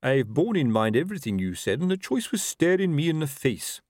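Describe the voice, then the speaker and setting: A petty, pompous captain of the watch, acted out by a male reader, full of suspicion and self-importance. The delivery is animated and varied in pitch, recorded dry for a fantasy storybook. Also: a little fast, dry recording. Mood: self-important. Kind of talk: audiobook character voice.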